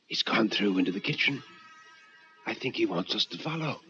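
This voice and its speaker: A man voicing a shaken but compassionate male companion in a radio ghost story, speaking in a hushed, halting, earnest way. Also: lowish voice, lively intonation, highs cut off, a little hiss or hum, pitched below the performer's own voice. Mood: hushed pity.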